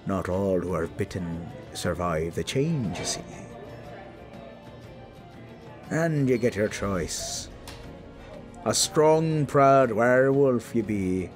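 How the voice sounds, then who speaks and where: A man voicing a jovial, roguish werewolf pirate captain in a hearty, teasing audio roleplay, with a stage pirate accent and drawn-out words. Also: medium pitch.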